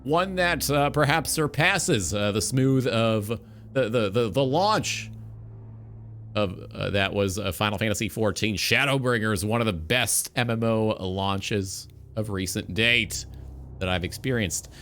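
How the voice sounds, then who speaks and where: A young man from North America hosting a casual radio-style livestream talk, phrasing things with an announcer's showmanship and drawn-out deliberation. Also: on the low side, fairly bright tone, slight background noise. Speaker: talk show host, game streamer. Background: background music.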